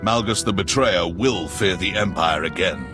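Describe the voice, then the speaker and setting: A man's voice: low voice, moderate pace, loud music behind the voice, lightly acted. Man playing proud, zealous Imperial general. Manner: grandiose, loyal. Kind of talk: video game cutscene acting.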